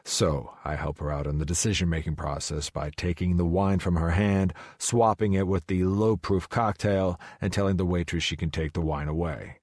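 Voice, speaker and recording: A man's voice: sits low, steady tone, normal speed, few pauses, darkish tone, dry recording, studio quality. A man narrating a romance audiobook in the first person, in a brooding, terse manner.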